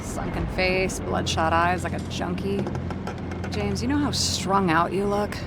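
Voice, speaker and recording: A woman's voice: fairly even intonation, a little slow. An actress playing a jaded, defensive woman in a video game, speaking in a caustic, guarded and blunt way. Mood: wry, caustic. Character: jaded, defensive woman in a borrowed, worn-out body. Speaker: video game character actor. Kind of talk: dramatic video game dialogue acting. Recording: very noisy game ambience, vehicle rumble.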